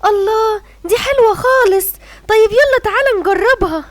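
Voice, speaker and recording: A woman voicing a bossy, excitable mother lioness in a cartoon, with an Egyptian Arabic accent. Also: very high voice, normal speed. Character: fussy mother lioness, the king's wife.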